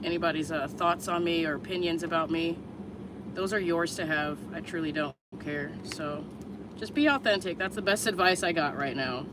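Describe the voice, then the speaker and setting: A woman's voice: on the low side, noisy background. Blunt, candid woman in her thirties. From Chicago area, USA. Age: 30s. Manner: candid, blunt, swears freely, unhurried. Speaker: vlogger answering viewers live. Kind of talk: casual livestream chat.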